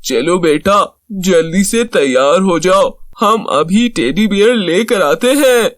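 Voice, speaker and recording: A man's voice: high-pitched, animated, slow pace, dry recording, clean studio sound. A man voicing a devoted, indulgent working-class father in a children's cartoon, with a reassuring, fatherly way of talking. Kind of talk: Hindi children's cartoon voice acting.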